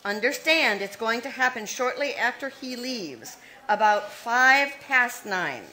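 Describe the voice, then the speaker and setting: A scheming young plotter from an old radio mystery, played by a woman, giving orders in a high, bright tone. She is cold and commanding, and she leaves no room for doubt in how she talks. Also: animated, a little slow, low-quality recording. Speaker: radio play actor. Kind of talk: 1940s radio acting.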